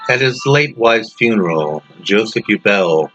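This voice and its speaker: A man narrating a scripted gothic horror story as its author, in a dramatic, deliberate manner with drawn-out words. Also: fairly low pitch, expressive. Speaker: author reading own story. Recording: dull lo-fi audio under loud music. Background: loud music.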